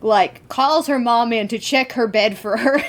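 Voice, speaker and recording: A woman's voice: fairly high pitch, measured pace. A woman co-hosting a podcast in a casual fan discussion, with slangy, giggly asides. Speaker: podcast co-host.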